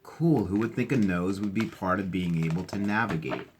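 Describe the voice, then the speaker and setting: A man with a slightly husky voice reading aloud to children and explaining things simply in a chatty, encouraging teacher's manner. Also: low-pitched, normal speed, slight background noise. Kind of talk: animated read-aloud with casual explanations.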